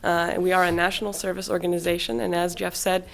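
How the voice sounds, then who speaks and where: A woman's voice: on the low side, level tone, fast, gravelly, slight background noise. A young woman who leads a volunteer team, giving an informal presentation at a council meeting. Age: young adult. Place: public council meeting room.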